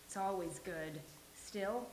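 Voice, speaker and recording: A woman's voice: mid-range voice, slow pace, a little mellow, lightly acted. Woman acting as a neurotic, teasing New York woman, roomy sound. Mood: teasing, dry. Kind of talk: live sitcom table read. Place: live venue with studio audience. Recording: roomy live venue, faint hiss.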